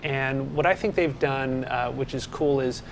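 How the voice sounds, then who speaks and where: A man co-presenting a developer show, chatting in a relaxed way about software partners. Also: mid-range voice, measured pace, slight background noise. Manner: relaxed, chatty with filler words. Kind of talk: casual chat. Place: studio set.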